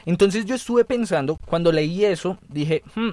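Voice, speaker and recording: A man's voice: high voice, expressive, normal speed, mellow, no echo. A man from Colombia, a producer joining a radio panel, talking in a joking, playful way into a studio mic. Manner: jokey, playful. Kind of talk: casual radio talk. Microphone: studio microphone.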